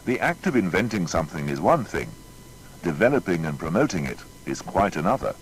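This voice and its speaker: A man's voice: low voice, slight background noise, rough recording. A man narrating a scripted biography with emphatic, rhetorical delivery.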